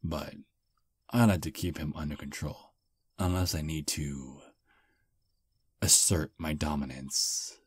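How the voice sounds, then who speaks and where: A man voicing a friendly 215-year-old male vampire on a first date in an ASMR roleplay, soft-spoken and slightly halting. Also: extremely low pitch, fairly even intonation, breathy edge, no echo, exaggerated voice. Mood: easygoing.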